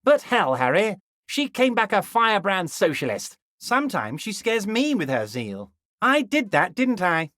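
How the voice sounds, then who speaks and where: A man playing a smug, wily old union boss in a video game, folksy and insinuating, with mock modesty and drawn-out emphasis. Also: high-pitched, huge pitch swings, pushed and bright, dry recording, studio quality, full character voice. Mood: mock-worried, sly. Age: older. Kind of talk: video game character dialogue.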